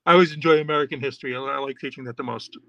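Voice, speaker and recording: Bright-voiced chatty middle-aged man. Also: mid-range voice, steady tone, very fast, creaky, clean tone, no echo, lo-fi sound. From New York. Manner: chatty, rambling, many fillers and restarts. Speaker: podcast host and children's author. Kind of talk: casual interview answers.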